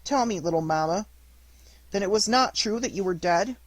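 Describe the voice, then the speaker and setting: A reader voicing an eager, excitable wooden puppet boy in a storybook reading, with a slightly husky tone. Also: medium pitch, normal speed, no room sound, pitched above the performer's own voice, natural voice, rough recording. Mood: pleading. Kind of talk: audiobook character reading.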